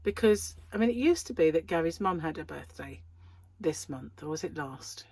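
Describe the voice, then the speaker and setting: A middle-aged British woman with a wheezy breath, chatting casually to camera as host of a crochet channel, self-deprecating and quick to laugh. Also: sits low, animated, a little mellow, slightly rough, dry recording. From United Kingdom.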